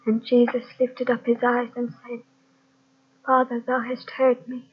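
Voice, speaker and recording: A woman's voice: on the high side, completely flat delivery, a little slow, breathy edge. An actress playing an earnest, devout young woman with a solemn, searching manner, in old-time radio drama style. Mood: solemn, reverent. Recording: dull lo-fi old radio cassette transfer.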